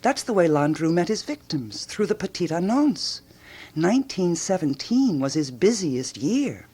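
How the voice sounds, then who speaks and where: A woman's voice: fairly low pitch, a little mellow, slightly rough, exaggerated voice. An eager, swooping lady sleuth. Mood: insistent. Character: eager, self-assured woman amateur detective in 1920s Paris. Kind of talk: radio drama acting.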